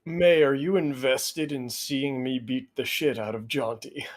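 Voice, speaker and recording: A man playing a very tall, polite, earnest soldier in a tabletop roleplay, speaking in a measured, literal way. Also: medium pitch, steady tone, slight background noise, natural voice. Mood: earnest, puzzled. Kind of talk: improvised in-character roleplay.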